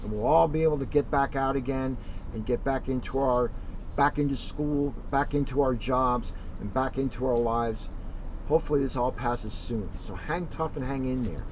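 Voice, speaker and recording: A male police officer giving an informal, encouraging address to children and families, earnest and repeating phrases for emphasis. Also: normal speed. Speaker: police officer co-hosting a community message. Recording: distant, dull mic with clear rumbling noise.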